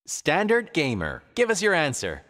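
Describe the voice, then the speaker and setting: A man voicing an upbeat animated quiz show host, with theatrical, emphatic scripted announcing. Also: fairly high pitch, moderate pace. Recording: large hall reverb, faint crowd noise.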